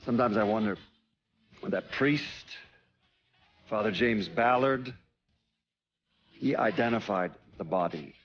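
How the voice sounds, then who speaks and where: An actor playing a weary, haunted American man who gives brooding, deliberate testimony in a video game cutscene. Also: lowish voice, slightly rough, highs cut off. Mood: haunted, bitter.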